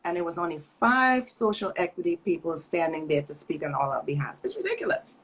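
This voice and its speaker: A woman's voice: lowish voice, big pitch swings, fairly quick, fairly bright tone, slight background noise, low-quality recording. A middle-aged woman with a Trinidadian accent, speaking bluntly and with frustration in a casual livestream chat over a video call. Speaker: cannabis business owner and co-host.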